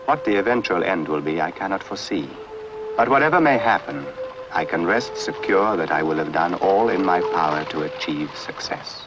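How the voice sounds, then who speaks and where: An actor reading as a young Victorian man writing in his diary, measured and earnest, in a muffled archive recording over music. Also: low-pitched, expressive. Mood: resolute.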